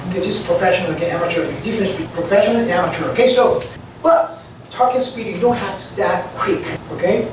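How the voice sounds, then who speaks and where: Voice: medium pitch, quick pace. Aviation instructor, classroom lecture, distant mic, noisy room. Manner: loose, repetitive, full of "okay" and "you know". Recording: echoey classroom from far off, noisy.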